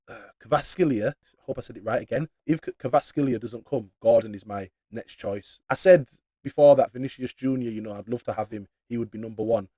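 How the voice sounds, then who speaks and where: Young Yorkshire man, football channel host, mid-pitched, slangy and mocking, dry close sound. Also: warm tone, husky, dry recording. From Leeds, northern England. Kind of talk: casual opinionated football rant.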